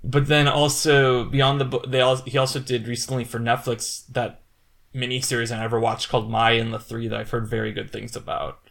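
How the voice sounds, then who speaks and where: A man co-hosting a podcast, chatting casually about films in an animated, rambling way, often acting out quotes. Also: medium pitch, a little fast, vocal fry. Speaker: podcast co-host. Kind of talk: casual film chat.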